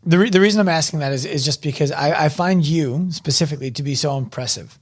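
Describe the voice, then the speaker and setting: A man's voice: a little high, quick pace. A man hosting a podcast interview, asking questions in an encouraging way and thinking aloud with restarts. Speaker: podcast host. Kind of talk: casual interview conversation.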